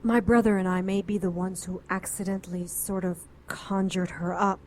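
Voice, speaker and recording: A woman's voice: mid-range voice, flat delivery, moderate pace, a little mellow, slight background noise, close to an everyday voice. An actress playing an anxious, educated middle-aged woman client in a noir radio drama, earnest and pleading. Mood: pleading, frightened.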